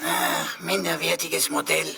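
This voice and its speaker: An actor voicing a vain, chatty little computer sidekick in a radio drama, raspy, florid and theatrical. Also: male voice, fairly high pitch, flat delivery, a little slow. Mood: smug, florid. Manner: florid, theatrical, mock-servile.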